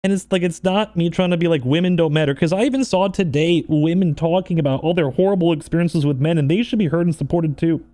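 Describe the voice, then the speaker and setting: Emotional, halting man, slightly high voice. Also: dark tone. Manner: halting, repetitive, earnest. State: emotional, upset, close to crying. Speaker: streamer and video creator. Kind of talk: unscripted personal vlog monologue.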